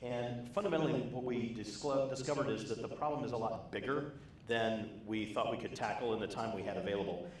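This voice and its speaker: A man in his forties summarizing a discussion at a conference into a handheld mic, measured and posing questions as he goes. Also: mid-range voice, slight background noise. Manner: measured, thinking aloud in questions. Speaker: conference session presenter. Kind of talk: short summary talk. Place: large conference room. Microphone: handheld microphone. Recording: very echoey room.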